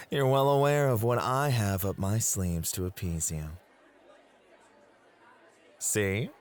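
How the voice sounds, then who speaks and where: A man's voice: low-pitched, a little mellow. A man playing a devoted, possessive and competitive club host in a romantic ASMR roleplay, polite and affectionate.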